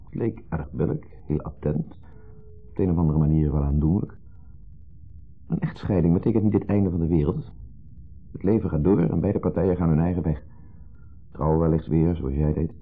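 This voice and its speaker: An actor playing an insecure, jealous husband in a 1970s radio play, hesitant, fretful and full of questions. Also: male voice, deep voice, normal speed, long pauses, slightly rough. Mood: brooding. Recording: muffled narrow-band 1970s radio recording.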